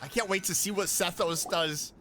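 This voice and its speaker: A man's voice: high voice, normal speed, crowd noise. A man giving casual reactions as a streamer playing through a video game. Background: game music.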